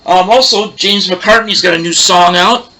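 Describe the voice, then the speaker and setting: A man with a big, loud, roaring voice hosting a casual record collection show, chatting in a rambling way and losing his train of thought. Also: high-pitched, normal speed, rough recording. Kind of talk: casual show-and-tell chat.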